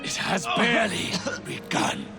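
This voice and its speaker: An actor playing an arrogant British Templar grand master in a video game cutscene, grandiose and defiant, with music behind. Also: male voice, sits high, wildly swooping pitch, unhurried, vocal fry, full character voice. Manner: grandiose, theatrical.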